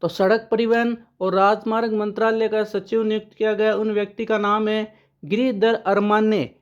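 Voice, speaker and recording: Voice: mid-range voice, flat delivery, moderate pace, clean tone, muffled recording. A current affairs teacher giving an exam-prep lesson with memory tricks, who asks questions aloud, answers them and repeats the key names.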